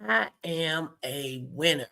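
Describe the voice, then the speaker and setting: Female motivational host, faith-based exhortation. Unhurried, bright and forceful, emphatic, talks straight to the viewer. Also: low voice, lively intonation, gravelly. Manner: emphatic, repetitive, addresses viewers directly. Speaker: host of a morning motivation live stream.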